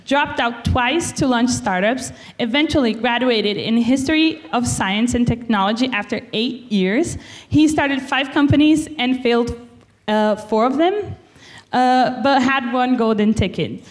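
A young woman, carefully reading a speaker introduction into a handheld mic at a lecture-hall podium. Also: fairly high pitch. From Brazil. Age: 20s. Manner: careful, reads from notes with fillers. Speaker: student event presenter.

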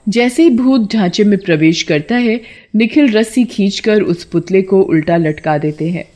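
A woman's voice: medium pitch, normal speed, mellow. A woman narrating a scripted story for a cartoon.

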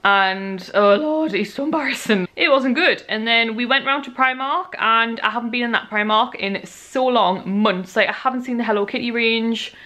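A tired woman in her twenties with a croaky voice, chatting rambly to camera at home. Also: on the high side, moderate pace, hardly any pauses, sharp tone. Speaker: vlogger. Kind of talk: casual chat to camera. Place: small bedroom at home. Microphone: handheld camera at arm's length.

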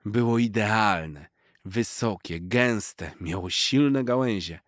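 A man's voice: lowish voice, slow, no room sound. A man narrating a children's story expressively from a script, close on the mic.

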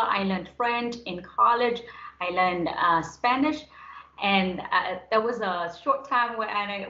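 A woman's voice: mid-range voice, sharp tone. Young woman, native Vietnamese speaker, conversational guest talk. Roomy, slightly dull video-call sound. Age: young adult. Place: indoors on a group video call.

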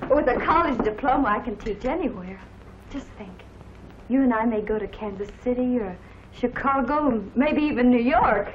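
Casting: actress as idealistic, effusive young woman, high voice, muffled vintage movie soundtrack. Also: lively intonation, normal speed, lightly acted. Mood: excited, dreamy. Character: eager, idealistic young woman starting college. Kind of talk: old Hollywood film acting. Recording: muffled, noisy old film soundtrack.

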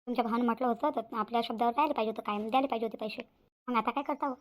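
Woman voicing a high, rapid-fire worried village mother. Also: steady tone, natural voice. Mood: concerned. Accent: Vidarbha Marathi. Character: worried, hard-working village mother, pleading and stubborn. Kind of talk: Marathi cartoon voice acting.

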